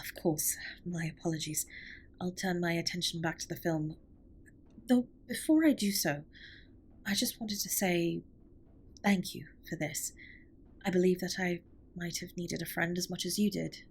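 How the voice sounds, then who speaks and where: A woman's voice: fairly low pitch, normal speed, pauses often, husky. A woman playing a formal, reserved but tender alien spaceship captain in a scripted comfort audio roleplay, speaking with precise, stilted politeness. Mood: sincere, tender.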